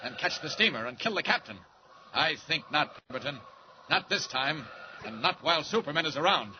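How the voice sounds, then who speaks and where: Man acting confident flying superhero, commanding, highish voice, 1940s radio adventure, muffled, noisy. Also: expressive, brisk, lightly acted. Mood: defiant, determined.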